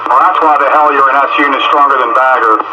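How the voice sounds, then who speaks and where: A man's voice: mid-range voice, fairly even intonation, fast. A man chatting casually on the air as a radio operator, heard over a muffled two-way radio. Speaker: amateur radio operator hosting an on-air group. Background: loud radio static.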